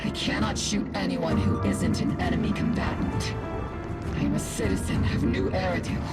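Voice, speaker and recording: A man's voice: high voice, steady tone, moderate pace, loud music behind the voice, lightly acted. A man voicing a dutiful, principled seasoned soldier in a video game, earnest and solemn. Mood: resolute, pained. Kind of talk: dramatic video game voice acting.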